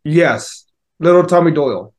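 A male co-host with a slightly high-pitched voice chats casually about films. He is blunt and teasing, and swears freely as he goes. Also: expressive, a little slow. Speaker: podcast co-host. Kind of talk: casual movie review chat.

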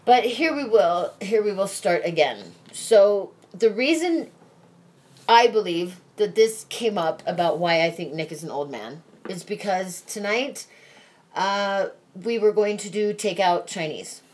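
A woman in her forties hosting a casual podcast, chatty and quick to laugh, with a slightly irritated edge. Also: medium pitch, lively intonation, a little mellow. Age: 40s. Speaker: podcast host.

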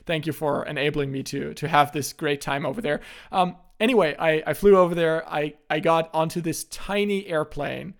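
A young man from Germany, casually telling stories as a podcast host, in a relaxed way with frequent restarts. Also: on the high side, moderate pace, gravelly, few pauses.

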